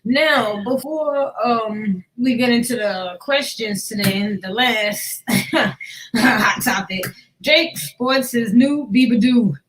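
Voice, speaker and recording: A woman's voice: a little high, slow pace, a little hiss or hum, low-quality recording. A woman from New York hosting a casual chat podcast, talking in a slangy, profane way. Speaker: podcast host.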